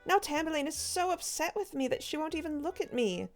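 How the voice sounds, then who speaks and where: A woman's voice: high-pitched, lively intonation, brisk, soft background music. A woman voicing an anxious, devoted mother, pleading and worried.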